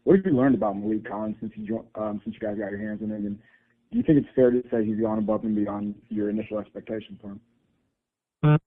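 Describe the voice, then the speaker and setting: A man's voice: lowish voice, fast talker. A man, a reporter, giving a long lead-in before asking his question on a press call. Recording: phone-line audio.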